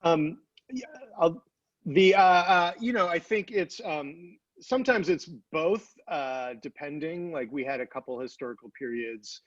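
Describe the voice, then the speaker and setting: Man, mid pitch, dull dry recording, halting casual explanation, panel guest. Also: no room sound. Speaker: television writer and producer, panel guest.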